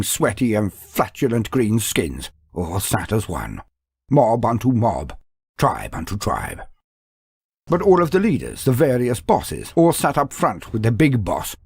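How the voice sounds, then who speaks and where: A man reading scripted lore as a theatrical storyteller, with dramatic pauses and asides. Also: mid-range voice, darkish tone, husky, no room sound. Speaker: narrator of a lore guide.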